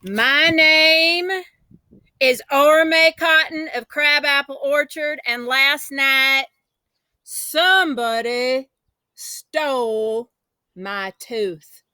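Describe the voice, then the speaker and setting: Woman playing a spirited, bright-voiced country kid. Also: high voice, drawn-out words, dry recording, heavily acted. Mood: dramatic, excited. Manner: folksy, emphatic, dramatic storytelling. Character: spirited, boastful, folksy country child telling their tale.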